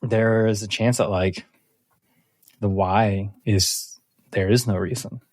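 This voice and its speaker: A man's voice: lowish voice, steady tone, slow, darkish tone, dry recording. A man hosting a tabletop roleplaying game as game master. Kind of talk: tabletop game narration and casual recap.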